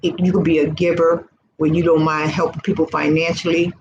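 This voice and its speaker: A woman's voice: low voice, flat delivery, brisk, muffled recording. A woman in her early sixties giving fervent, repetitive faith encouragement in an informal vlog. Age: 60s. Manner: fervent and repetitive, preacher-like exhortation. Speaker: faith vlogger. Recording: noisy background hiss.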